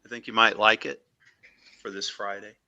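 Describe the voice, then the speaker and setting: A man's voice: fairly low pitch, level tone, moderate pace, bright, forceful tone, muffled recording, slight background noise. A man chatting casually on a livestream, offering helpful step-by-step suggestions.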